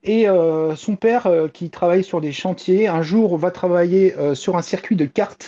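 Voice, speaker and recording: Dull lo-fi, mellow woman reviewing manga. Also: on the low side, normal speed. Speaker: manga columnist. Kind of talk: casual enthusiastic review.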